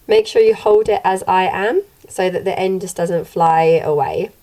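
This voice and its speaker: Slightly croaky woman teaching a craft. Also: on the low side, moderate pace, low-quality recording. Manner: gentle, steady instructional delivery. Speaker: craft channel host. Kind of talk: step-by-step tutorial narration. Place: home room, indoors.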